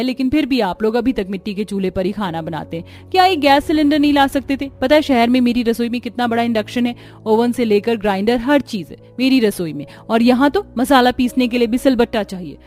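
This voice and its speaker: Woman playing a high-voiced haughty city daughter. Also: brisk, hardly any pauses, a little mellow, soft background music, lightly acted. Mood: haughty, annoyed. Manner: boastful, complaining. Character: haughty, spoiled city daughter, grumbling about village life.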